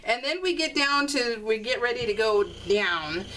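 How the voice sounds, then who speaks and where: A middle-aged woman chatting casually and telling animated, giggly stories while she works on a scrapbooking project. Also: on the high side, moderate pace, low-quality recording. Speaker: scrapbooking vlogger. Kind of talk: casual storytelling while crafting.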